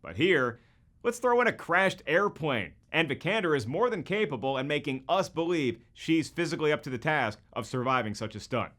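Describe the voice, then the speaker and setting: A man's voice: on the high side, sing-song, moderate pace, fairly bright tone. A man hosting a scripted video commentary in a jokey, colloquial and emphatic way. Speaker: host.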